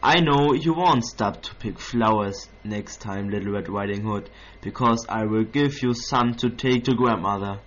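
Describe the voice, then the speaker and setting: A man voicing a kind, caring father who speaks in a gentle, reassuring way, in a dull lo-fi recording. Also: lowish voice, unhurried, hardly any pauses.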